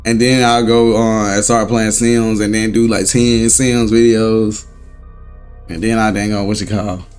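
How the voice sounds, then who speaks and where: Slightly raspy, laid-back young male vlogger. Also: lowish voice, level tone, measured pace, darkish tone, soft background music. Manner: rambling, laid-back slang, chuckles often. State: hoarse, voice recovering. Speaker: reaction channel host. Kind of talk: casual chat to viewers. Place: home room. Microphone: desk setup at a laptop.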